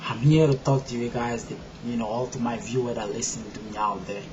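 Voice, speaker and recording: A man with a Haitian accent gives a personal testimony to camera, his talk full of "you know" and restarts. His pitch sits in the middle of the range. The sound is muffled and lo-fi, with a faint hiss of noise behind him. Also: fairly even intonation, brisk. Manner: rambling, many "you know" fillers, quiet at first and growing loud and emphatic. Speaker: video blogger.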